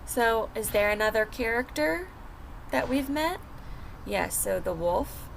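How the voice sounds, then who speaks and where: A woman reading a picture book aloud like a teacher, pausing to ask and answer simple questions for young children. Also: a little high, expressive, creaky, a little hiss or hum, rough recording. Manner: simple explanatory talk, asking and answering questions.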